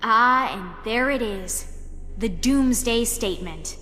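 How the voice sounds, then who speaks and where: A woman's voice: on the high side, animated, takes it slowly, pushed and bright, a little hiss or hum, full character voice. A woman acting a brash, exasperated young woman in an audio drama, sarcastic and blunt as she talks and narrates.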